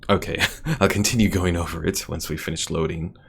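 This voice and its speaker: A man's voice: low voice, fairly even intonation, a little fast, creaky, husky. A man giving casual gameplay commentary as a streamer, thinking aloud as he plays. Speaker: streamer playing a strategy game. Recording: game music behind voice.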